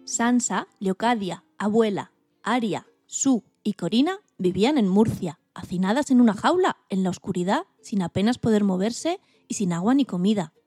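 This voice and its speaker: A woman's voice: medium pitch, animated, moderate pace, warm tone, breathy edge, no echo, faint music behind. A woman from reading scripted narration as a radio presenter.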